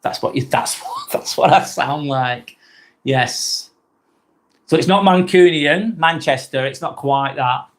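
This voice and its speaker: A man's voice: on the high side, wildly swooping pitch, slow. A man with a Lancashire accent from northwest England, hosting a casual live vlog in a rambling, blunt way, swearing casually and often restarting his sentences. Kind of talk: casual live-stream talk.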